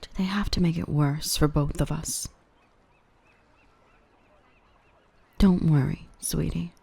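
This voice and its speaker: A woman playing a dominant yet protective dark elf mistress in an ASMR roleplay, by turns cutting and tender. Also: low voice, fairly even intonation, normal speed, gravelly, husky, dry recording, exaggerated voice. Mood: gentle, reassuring. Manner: stern and cutting to traders, tender and coaxing to the human. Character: dominant female dark elf, protective, gentle with her rescued human.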